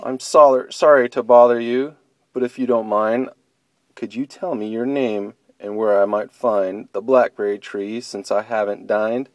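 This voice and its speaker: A man voicing a timid little blind girl bunny, talking in a soft, pleading, wavering way. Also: lowish voice, steady tone, a little slow, dry recording, natural voice. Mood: apologetic.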